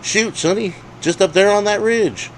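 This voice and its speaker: A man voicing a cranky, hard-of-hearing old rustic who barks folksy talk loudly in a story. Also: high-pitched, normal speed, slight background noise, voice pushed up, low-quality recording. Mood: folksy, blustering. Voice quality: loud, folksy bark.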